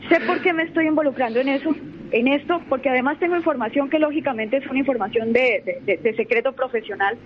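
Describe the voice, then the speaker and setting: A woman's voice: high voice, fast talker. A young Colombian woman, a lawyer, talking in an assertive, self-assured way as a guest on a radio interview, sometimes repeating words while she gathers her thoughts. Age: young adult. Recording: muffled radio audio with faint noise.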